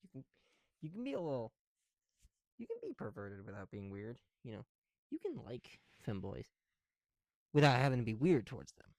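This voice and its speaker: A man chats informally to his viewers in an ASMR style. His voice is soft and dark-toned, at a middling pitch for a man. The sound is dry, with very little room to it. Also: very animated, normal speed, long pauses, no echo. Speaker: ASMR video creator. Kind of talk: casual ASMR chat while building bricks. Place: small room at home, on the floor.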